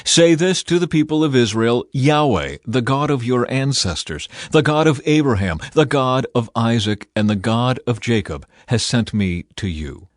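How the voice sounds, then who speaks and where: A man voicing a solemn, commanding God in an authoritative, measured scripture reading. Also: medium pitch, expressive, dry recording, studio quality, close to an everyday voice. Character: God, solemn commanding male deity speaking from fire.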